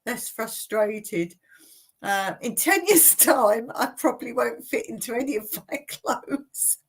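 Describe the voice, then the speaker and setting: A woman's voice: fairly high pitch, animated, slow pace, gravelly, slightly rough. A woman in her late fifties hosting a casual live Q&A, reading viewer comments aloud and chatting about them with frequent laughs. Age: 50s. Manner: chatty, laughs often between remarks. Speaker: channel host. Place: home in rural Cyprus.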